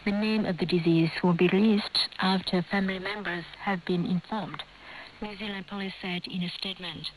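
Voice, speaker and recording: A woman's voice: medium pitch, steady tone, normal speed, a little hiss or hum, poor audio. A woman reads scripted news as a radio presenter over a shortwave broadcast.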